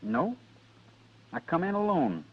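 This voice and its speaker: An actor playing a proud, stubborn sixteen-year-old cowboy in a radio Western, with terse, folksy frontier talk. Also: male voice, a little high, sing-song, takes it slowly, husky, exaggerated voice. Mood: determined. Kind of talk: 1950s radio Western acting. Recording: dull vintage radio recording with music.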